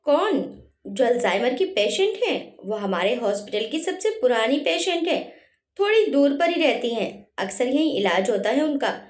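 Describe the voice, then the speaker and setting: A woman's voice: high-pitched, big pitch swings, moderate pace. A woman voicing a friendly young male doctor who explains in a matter-of-fact way. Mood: explaining. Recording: roomy echo.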